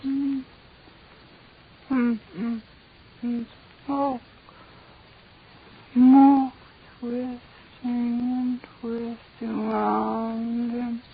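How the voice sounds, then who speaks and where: A woman's voice: on the high side, flat delivery, drawn-out words, pauses often, breathy edge, full character voice. A woman acting a dazed, broken captive raving in delirium in an old-time radio horror play, murmuring in a trance-like, repetitive way. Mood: dazed, delirious. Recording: dull, hissy old radio recording.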